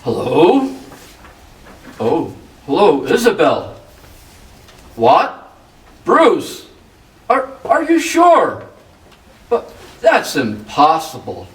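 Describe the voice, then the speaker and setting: Man playing a high-pitched, jittery debtor, echoey. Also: huge pitch swings, fairly bright tone, heavily acted. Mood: shaken. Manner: halting, stammering, anxious. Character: nervous, stammering male debtor, cornered and defensive. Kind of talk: 1940s crime radio drama acting. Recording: echoey room, distant mic, background noise.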